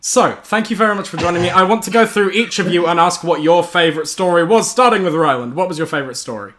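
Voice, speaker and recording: A man's voice: high-pitched, fast talker, a bit bright. A man hosting a casual group story reading, giggly and playful as he narrates and banters.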